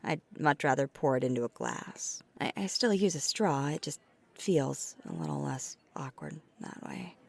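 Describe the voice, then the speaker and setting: A woman voicing a flirty, caring vampire in an intimate ASMR roleplay, soft-spoken and breathy, sometimes near a whisper, with halting hesitations. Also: deep voice. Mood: confessional, sheepish. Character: flirty, caring female vampire on a dinner date.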